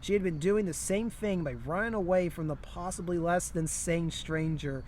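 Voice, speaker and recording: A man's voice: high-pitched, normal speed, darkish tone. A man reading a humorous story aloud as a co-reader, with casual asides, out in the open. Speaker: co-reader in a story read-along. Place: outdoors near a historic town site. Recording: outdoor recording with passing vehicle noise.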